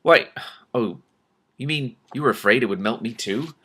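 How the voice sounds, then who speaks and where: A man's voice: mid-range voice, moderate pace, bright, forceful tone, slightly rough. A man voicing a storybook king who is questioning, curious and mildly incredulous. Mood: surprised. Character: young male king, wary, curious, easily startled.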